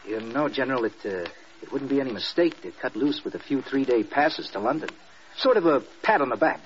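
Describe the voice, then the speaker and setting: An actor playing an eager, frank air force officer and loyal subordinate in a vintage radio drama, earnest and informal. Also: male voice, mid-range voice, expressive, brisk, natural voice. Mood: frank, casual. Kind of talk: 1940s-50s radio drama acting. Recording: muffled, hissy old radio recording.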